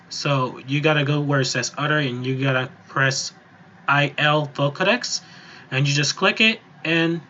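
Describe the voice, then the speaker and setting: Bright-voiced man, dull lo-fi recording. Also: medium pitch, slight background noise. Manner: informal, rambling step-by-step explaining. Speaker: music software tutorial presenter. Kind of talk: casual, improvised screen-recorded tutorial. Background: voice-over on a screen recording.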